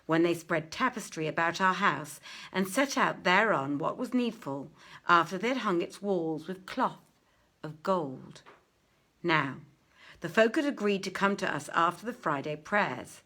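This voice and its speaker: A woman reading an old-fashioned tale aloud as a storyteller, expressively, with deliberate phrasing and dramatic pauses. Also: on the low side, lively intonation, bright, forceful tone.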